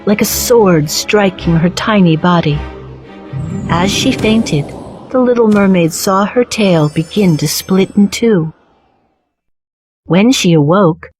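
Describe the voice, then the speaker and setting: A woman storyteller giving a measured, scripted narration of a children's story. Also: on the low side. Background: soft music.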